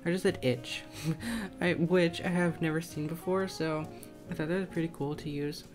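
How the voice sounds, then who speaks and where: Low-voiced young woman, rambling casual game voiceover. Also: normal speed. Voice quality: slightly scratchy. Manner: rambling, self-conscious, tentative. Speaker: gaming video creator. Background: soft plucked guitar music.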